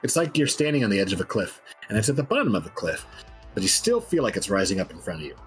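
A man's voice: fairly low pitch, sing-song, quick pace, soft background music. A man playing an unnerved, obsessed interview subject in a dramatic reading, anxious and emphatic. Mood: overwhelmed unease.

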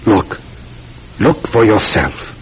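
Low-voiced, courteous detective. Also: male voice, very animated, slow, husky, exaggerated voice. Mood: excited. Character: dogged, courteous Scotland Yard detective uncle. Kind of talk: old-time radio drama acting. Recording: dull, noisy vintage radio recording.

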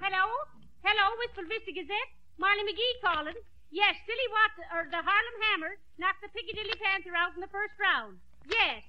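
An actress playing a loyal, anxious wife in a 1940s radio comedy, fluttery and polite. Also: female voice, very high-pitched, expressive, a little fast. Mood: excited, breathless. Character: loyal, anxious wife tagging along to the fight. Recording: dull, noisy old radio recording.

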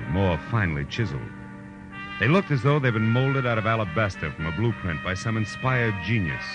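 An actor playing a wry, blunt American private detective who narrates in a tough, terse 1940s radio style. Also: male voice, low-pitched, dull audio. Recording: old radio recording, music behind.

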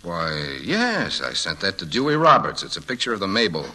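A confident young American man in a classic radio drama. His voice is a little low but extremely bright and forceful, with breezy, animated intonation. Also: normal speed, exaggerated voice. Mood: puzzled, indignant.

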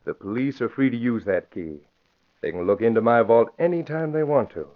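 An actor playing a suspicious, menacing crime boss in 1940s radio drama style, clipped and commanding. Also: male voice, medium pitch, natural voice. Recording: muffled, hissy old radio recording.

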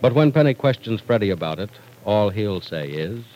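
A man's voice: fairly low pitch, big pitch swings, normal speed, warm tone, low-quality recording. A man narrating an old-time radio serial as announcer, in a dramatic scripted style.

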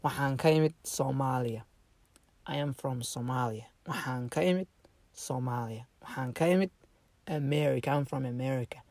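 A man teaching a casual online language lesson, halting with many fillers and restarts and repeating each phrase slowly for learners. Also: mid-range voice, creaky, darkish tone, no room sound.

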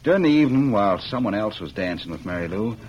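An actor playing a wronged young cowboy ex-convict in a vintage radio western, speaking plainly and earnestly. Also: male voice, fairly low pitch, animated, quick pace, breathy edge. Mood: bitter, earnest. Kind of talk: 1940s-50s radio western acting. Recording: muffled, hissy old radio recording.

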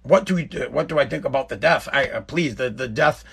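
A man's voice: mid-range voice, a little fast, vocal fry, a bit bright, slightly rough. A man giving an emphatic, profane monologue to his viewers, repeating himself for effect. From United States.

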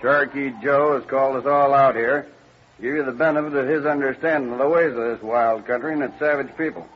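Man acting authoritative wagon-train boss, medium pitch, firm, rustic, old radio drama, muffled recording. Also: fairly even intonation, fairly quick, husky, close to an everyday voice. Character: steady, authoritative frontier wagon-train leader.

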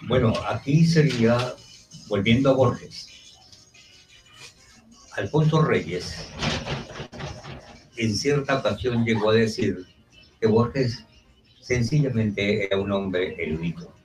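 A man speaks formally and hesitantly as a participant in a discussion. He is very slow and fairly low, heard from off the mic in an echoey room. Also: far from the mic, over music. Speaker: participant questioning the author. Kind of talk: unscripted question.

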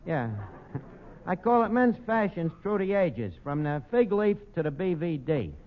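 Muffled noisy old radio recording, slangy New York tavern manager, dim, pompous, lively pitch. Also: male voice, unhurried. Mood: self-satisfied. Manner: pompous malapropisms, streetwise slangy talk. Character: brash, dim, wisecracking male tavern manager mangling big words. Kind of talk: 1940s radio comedy acting.

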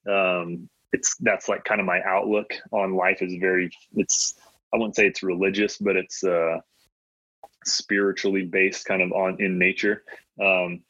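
A man's voice: low-pitched, sharp tone, breathy edge, dry recording, dull audio. A man from Michigan whose first language is Latvian, talking casually as an interview guest with many ums and restarts. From Michigan, USA. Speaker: guest, hunting brand co-founder.

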